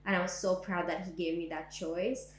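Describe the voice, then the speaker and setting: A young woman from Syria recounts her experiences in an oral history interview. She speaks reflectively, her voice a little low, with plenty of "like"s and "um"s. The recording has an echoey room sound. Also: steady tone, moderate pace. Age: young adult. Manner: reflective, frequent "like" and "um". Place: indoors, living room.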